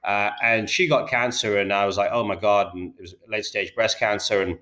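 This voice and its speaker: A British man in his thirties answering interview questions about his company, talking quickly and often repeating words as he restarts. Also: lowish voice, steady tone, pushed and bright. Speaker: healthcare data company founder.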